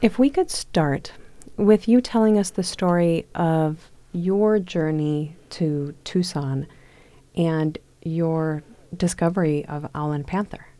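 A woman hosting a radio show in a studio, reading scripted introductions and asking questions in a calm, deliberate way. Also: deep voice. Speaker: radio host.

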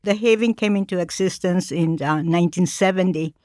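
A middle-aged woman from Mexico speaking as a cultural educator in a radio interview, measured with frequent pauses. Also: lowish voice, a little mellow, no echo, clean studio sound.

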